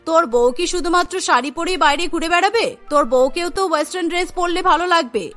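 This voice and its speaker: A woman's voice: extremely high pitch, a little fast, fairly bright tone, faint music behind. A woman voicing a stern, protective middle-aged mother-in-law in a cartoon story, speaking firmly and emphatically. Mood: chiding, protective. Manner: firm, scolding, emphatic. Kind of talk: Bengali cartoon story acting.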